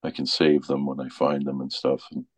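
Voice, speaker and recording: A man's voice: a bass voice, little pitch movement, normal speed, slightly rough, no room sound. A middle-aged man in casual, informal chat, rambling along in a folksy way. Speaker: radio show presenter.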